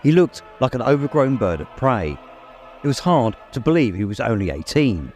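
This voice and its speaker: A man narrates an audiobook in an expressive, suspenseful storytelling style. His medium-pitched voice moves with lively intonation, and music plays behind it. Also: normal speed. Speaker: audiobook reader. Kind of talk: dramatic scripted story narration. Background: music, immersive production.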